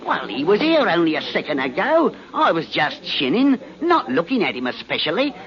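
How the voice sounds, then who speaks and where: An actor playing a matey, nosy Cockney pub regular, garrulous and slangy, in 1940s radio drama style. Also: male voice, fairly high pitch, huge pitch swings, moderate pace. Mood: chatty. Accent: Cockney English. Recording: muffled 1940s radio recording.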